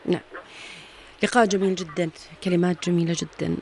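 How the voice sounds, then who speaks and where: A woman with a Jordanian accent hosting a radio interview, filling pauses with long drawn-out sounds and regularly affirming her guest. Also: lowish voice, fairly even intonation, creaky, darkish tone. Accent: Jordanian Arabic. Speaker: radio host.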